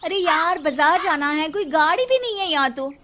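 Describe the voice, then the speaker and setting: Female actor as young cartoon daughter-in-law, haughty, boastful, whiny, very high, sharp. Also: expressive, normal speed, a little hiss or hum, full character voice. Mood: whiny disgust. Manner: haughty, whiny, sprinkles English words. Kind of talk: exaggerated cartoon voice acting.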